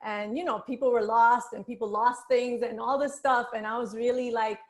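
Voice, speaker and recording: A woman's voice: on the high side, fairly even intonation, moderate pace. A woman speaking as an author on a panel, reflecting on her work in loose, informal conversation.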